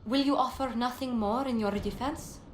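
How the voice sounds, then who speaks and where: Actress as poised game diplomat lady, very formal n measured, echoey room w faint noise. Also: on the high side, lightly acted. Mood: formal, composed. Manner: formal, stately, articulate. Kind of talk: video game character acting. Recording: roomy echo, faint noise.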